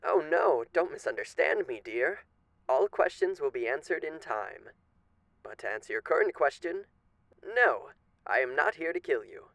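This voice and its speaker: A man's voice: fairly high pitch, big pitch swings, normal speed, vocal fry, a bit bright, no room sound, full character voice, poor audio. A man playing a suave, menacing radio demon in an ASMR roleplay, speaking with genteel, teasing, theatrical politeness. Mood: polite menace.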